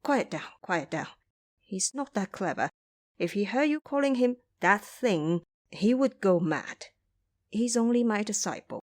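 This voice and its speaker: A gentle pilgrim monk read by a woman, humble and devout. The delivery is courteous and measured, often dropping lower and quieter, as in an audio drama of an old legend. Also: expressive, close to an everyday voice. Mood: hushed, cautioning. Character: polite, humble, devout male travelling monk.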